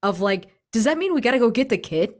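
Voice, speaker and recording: A woman in casual tabletop game chat, thinking aloud in an animated, gushing way. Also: fairly high pitch, fast. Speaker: tabletop RPG player.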